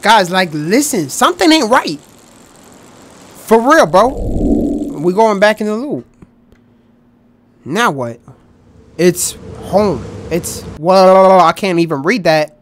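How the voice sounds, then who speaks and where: A young man commentating a horror game in a loud, excitable, trash-talking way, his voice going hoarse, recorded on a headset mic at a home setup. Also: high-pitched, slow pace, long pauses, a little hiss or hum. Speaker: gaming streamer.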